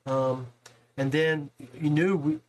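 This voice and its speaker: A man's voice: a little high, steady tone, takes it slowly, a little mellow, low-quality recording. A man with an American accent chatting casually as the host of a podcast. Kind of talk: casual chat.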